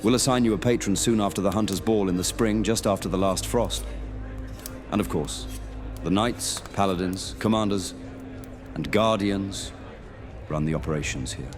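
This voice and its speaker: A man playing a level-headed medieval knight who guides new recruits in a fantasy audio roleplay, measured and explanatory. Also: deep voice, over music, lightly acted. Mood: welcoming, explanatory.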